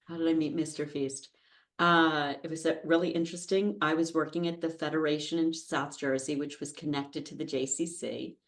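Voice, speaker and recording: A woman's voice: deep voice, steady tone, normal speed. An American woman in her fifties chatting as a podcast guest, telling anecdotes in a self-deprecating way. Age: 50s. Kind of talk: casual anecdotal interview conversation. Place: podcast studio.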